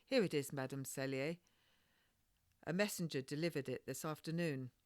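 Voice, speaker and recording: A woman voicing a dutiful, cautious maidservant in a storybook, plain-spoken and measured in a deferential way. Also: low voice, dry recording, studio quality, lightly acted.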